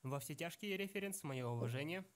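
A man's voice: on the high side, animated, fairly quick. A man narrating a scripted film commentary.

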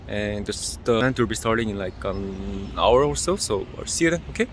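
A man's voice: on the low side, lively intonation, brisk, slight background noise. Chatty young man vlogging on his phone. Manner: chatty, enthusiastic, fillers like "right?". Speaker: vlogger and event host. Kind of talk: casual selfie vlog. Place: government building, indoors and out. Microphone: phone at arm's length.

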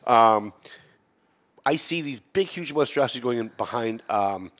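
A man's voice: mid-range voice, animated, a little slow, a bit bright. A man in his fifties hosting a studio podcast, chatting casually and rambling with a self-mocking streak. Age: 50s. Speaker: podcast host. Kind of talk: casual interview chat. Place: TV-style studio set.

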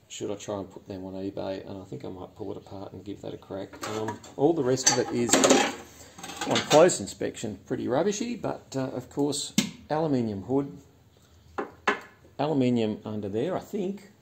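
A man, talking casually and haltingly in a garage as host of his own channel. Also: mid-range voice, creaky, heavy background noise. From Australia. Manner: rambling, hesitant with many fillers. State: hoarse after a night out. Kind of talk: casual vlog. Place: cluttered garage workshop.

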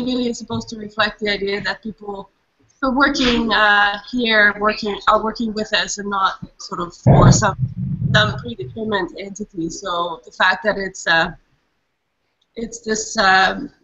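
A woman's voice: medium pitch, bright, forceful tone. A woman architect being interviewed over a video call, explaining thoughtfully with fillers. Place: open-plan office. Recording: muffled video-call audio.

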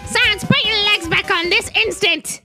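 A woman's voice: very high voice, unhurried. A woman voicing an earnest, naive young male skeleton in a comic dub, with emphatic, theatrical delivery. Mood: exasperated scolding. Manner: emphatic, theatrical scolding and eager questioning. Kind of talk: amateur comic dub acting. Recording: loud music behind the voice.

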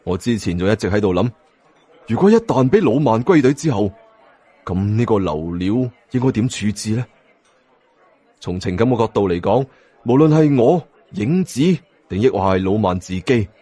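A man with a Cantonese accent narrating an audiobook in a dramatic, expressive storytelling style. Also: fairly low pitch, normal speed, husky, no echo. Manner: expressive, acts out dialogue. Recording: music bed behind narration. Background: background music.

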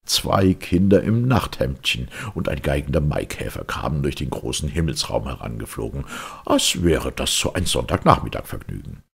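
A male audiobook reader tells a fairy tale with great theatrical expression. His voice is extremely low and very husky, with a gravelly creak. The delivery is animated and storybook-like. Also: normal speed.

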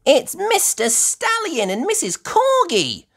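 A man's voice: very high-pitched, huge pitch swings, slow pace, a bit bright, pitched above the performer's own voice, heavily acted. A man voicing an eager, curious little girl piglet in a children's cartoon storybook, childlike and exclaiming. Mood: urgent.

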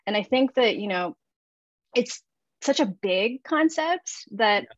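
A woman in her thirties speaking in a measured, reflective way on a video call from her living room, thinking aloud as she talks. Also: mid-range voice, lively intonation, dry recording. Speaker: artist and guest speaker. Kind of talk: reflective conversation. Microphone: video call with earbuds. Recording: dull dry video call audio.

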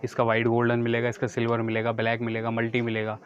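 Shopkeeper, flat sales talk. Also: male voice, medium pitch, quick pace, lo-fi sound. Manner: matter-of-fact, repeats prices and details. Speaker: bangle wholesaler, shopkeeper. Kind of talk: product showcase sales talk. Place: small packed wholesale bangle shop, indoors. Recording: busy shop chatter behind the voice.

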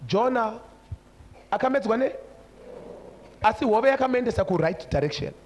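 A man's voice: very high-pitched, lively intonation, normal speed, slight background noise. A man in his forties with a Zimbabwean accent preaching a sermon, emphatic and repeating short phrases. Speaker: preacher, church overseer. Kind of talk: sermon switching between English and another language. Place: large church hall before a congregation. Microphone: handheld microphone.

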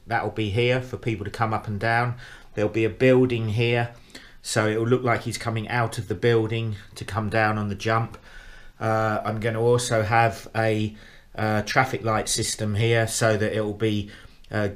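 Man, middle-aged, lowish voice, monotone, hesitant with fillers, home room, action camera mic. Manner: hesitant, lots of uh fillers and restarts, plain explanatory. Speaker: model railway hobbyist. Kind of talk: casual show-and-tell progress update. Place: living room at home, indoors.

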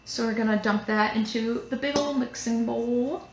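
A woman's voice: fairly high pitch, steady tone, normal speed. A young woman hosting a casual live cooking demo from a home kitchen, chatty and rambling with lots of fillers. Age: young adult. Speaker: museum cooking show host. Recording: echoey kitchen with background noise.

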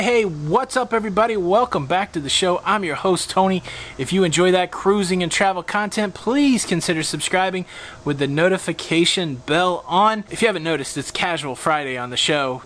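A man's voice: high-pitched, normal speed, a bit bright. A man in his forties hosting a travel vlog, making a casual, argumentative case full of rhetorical questions and punchy emphasis, filmed outdoors on a pool patio. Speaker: host of a cruise travel channel.

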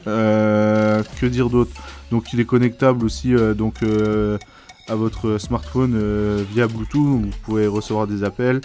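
A man's voice: lowish voice, darkish tone. A man giving an informal, hesitant, hands-on product review in casual slangy speech.

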